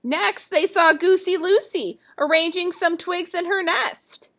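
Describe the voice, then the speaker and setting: High, bright-voiced woman storyteller. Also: measured pace. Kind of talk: expressive children's story reading.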